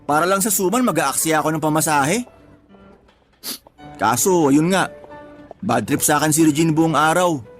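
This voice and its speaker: A man acts out a fed-up young husband in a radio drama. His voice sits a bit high as he gripes in emphatic street-level speech about his pregnant partner. Faint music plays underneath. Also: normal speed, long pauses, close to an everyday voice. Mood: weary grumbling. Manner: complaining, colloquial, emphatic. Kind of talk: Tagalog radio drama acting.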